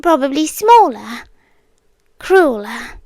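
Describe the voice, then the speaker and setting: A British woman playing an obsessive female monster in an intimate ASMR roleplay, speaking in measured, formal phrases that often drop into whispers. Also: sits high, big pitch swings, a little mellow, no echo, heavily acted. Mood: wounded, insistent. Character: obsessive yandere female monster with tail, claws and venom.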